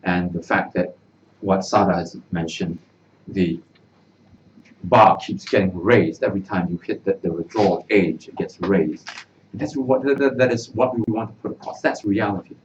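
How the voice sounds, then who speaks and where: A man speaking earnestly at a press conference, halting and repeating words as he makes his case. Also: low-pitched. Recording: distant mic, dull, noisy room.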